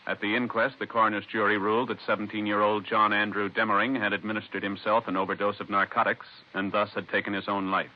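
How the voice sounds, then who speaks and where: A man's voice: fairly low pitch, level tone, fast talker, hardly any pauses, slight background noise. A male radio announcer reading formal scripted narration in 1950s style. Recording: dull old radio recording with music.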